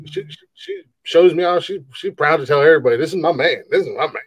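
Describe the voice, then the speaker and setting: Casting: man in his thirties, big booming voice, rambling podcast co-host, very dry close sound. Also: lively intonation, fast talker, slightly rough, no room sound. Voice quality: big loud voice. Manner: rambling, repeats words, laughs often. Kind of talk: casual podcast chat.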